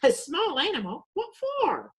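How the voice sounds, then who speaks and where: Woman to play sharp-witted small-town doctor sleuth, animated intonation, amateur mystery reading. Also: extremely high pitch, a little slow, full character voice. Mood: inquisitive. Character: shrewd small-town doctor solving a murder. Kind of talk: amateur readers theater mystery.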